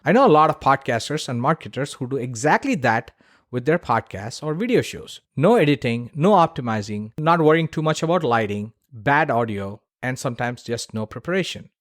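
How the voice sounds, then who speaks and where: A man's voice: mid-range voice, sing-song, a little fast, no echo, clean studio sound. A man hosting a solo podcast, giving opinionated advice in a blunt, informal and emphatic way. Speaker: podcast host.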